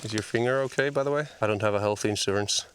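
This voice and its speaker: A man chatting casually as he teaches a beginner bushcraft in a forest, friendly and relaxed as he works. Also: on the low side, quick pace. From Finland. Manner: relaxed, friendly, explains as he builds. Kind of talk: casual instructional chat while working. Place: outdoors in a forest. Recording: outdoor noise and clicks.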